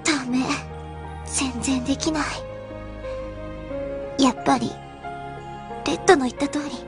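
A woman playing a quiet, vulnerable teenage girl in a Japanese radio drama, speaking in short, hesitant phrases. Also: sits high, steady tone, measured pace, music in the background. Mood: downcast.

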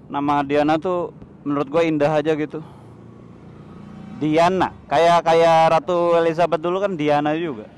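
A man with a Jakarta accent chats casually as he vlogs from a motorbike. His voice sits a little high and his talk is slangy and joking. Loud outdoor traffic noise runs behind him. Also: level tone, moderate pace. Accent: Jakarta Indonesian. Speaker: motovlog host. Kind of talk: casual riding vlog chat. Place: outdoors on a motorbike in city streets and forecourts. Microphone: lavalier worn inside a motorcycle helmet.

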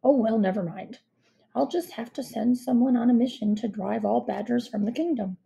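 A woman's voice: on the high side, normal speed, gravelly, mellow. A woman voicing a pompous, muddled king in a children's storybook, emphatic, self-assured and dismissive. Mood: breezy.

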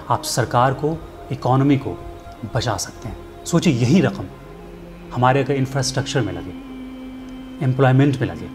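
A middle-aged man, presenting a scripted address to camera in an earnest, persuasive manner rhetorical questions. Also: medium pitch. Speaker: filmmaker and storyteller presenting a commentary segment. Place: indoor studio set. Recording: music bed underneath.

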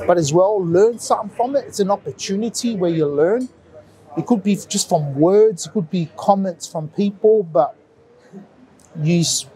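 A middle-aged man with a notably high voice chats casually as a guest. He pauses often with ums and restarts, relaxed and unhurried. He speaks into a handheld mic in a small indoor studio. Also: low-quality recording. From New Zealand. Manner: relaxed, hesitant with many ums. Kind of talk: casual chat on a live stream.

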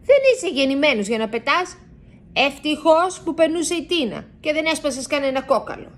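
A woman doing a shrill, bossy bird lady. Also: animated. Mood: scolding. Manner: shrill, scolding. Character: fussy female bee-eater bird, bossy and alarmed.

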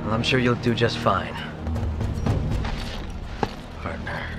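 A man's voice: medium pitch, takes it slowly, natural voice. An actor playing a weary, resolute American special agent in his thirties, grave and understated, in a video game cutscene. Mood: terse, reserved. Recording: loud music under the voice.

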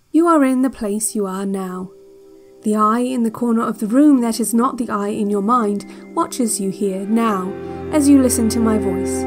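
Audio drama: actress as institute relaxation-tape instructor, female, higher-pitched, calm and controlling, deliberate meditation delivery over clear music bed. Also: natural voice. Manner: measured, deliberate, hypnotic, clinical. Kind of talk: slow guided-meditation narration in audio drama. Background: new-age music.

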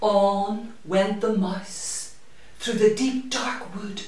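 A woman reading a picture book aloud to young children, expressively and with a deliberate sing-song rhythm. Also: medium pitch, fairly even intonation, a little mellow. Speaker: children's story-time reader. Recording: very echoey room, distant microphone.

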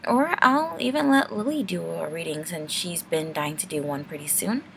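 A woman's voice: lowish voice, moderate pace, creaky, background noise. A young woman giving a casual, rambling channel update in a hesitant, apologetic, nervous way. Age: young adult.

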